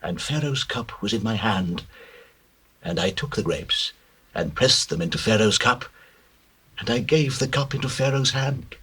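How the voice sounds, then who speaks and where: Husky, theatrical royal butler. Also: male voice, fairly low pitch, expressive, pushed and bright, exaggerated voice. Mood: awed, intense. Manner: theatrical, declamatory, drawn-out words. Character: troubled royal butler recounting a dream. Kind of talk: dramatized scripture acting.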